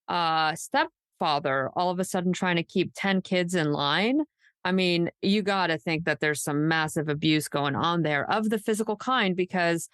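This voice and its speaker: A woman with a fairly low voice talks as a podcast co-host, in a dry, close recording. Her delivery is conversational and emphatic, speculating out loud and leaning on "like" as she goes. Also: normal speed, clear, resonant tone, no echo. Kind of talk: casual true crime discussion.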